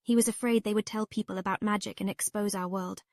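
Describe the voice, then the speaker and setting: A woman's voice: medium pitch, fairly even intonation, fast talker, darkish tone, no echo, clean studio sound, natural voice. A woman playing a curious, polite and earnest young witch girl in a comic dub. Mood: concerned.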